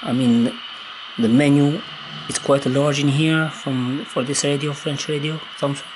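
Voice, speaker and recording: A man who is not a native English speaker gives a casual, unscripted hands-on demonstration, hesitantly thinking aloud in halting phrases. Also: fairly high pitch, fairly even intonation. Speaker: amateur radio hobbyist demonstrating gear. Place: indoors at a wooden table. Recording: clear background noise on handheld camera audio.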